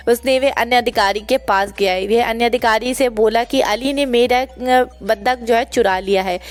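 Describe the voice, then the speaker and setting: Woman, higher-pitched voice, storyteller, scripted kids' story narration, music in the background. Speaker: storyteller and channel host.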